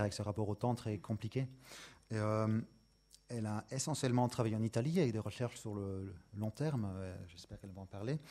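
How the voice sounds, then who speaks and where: A man moderates an academic seminar and introduces the guest speakers. His voice sits a little low. He hesitates often and fills his pauses as he goes. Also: darkish tone. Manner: hesitant, many fillers and restarts.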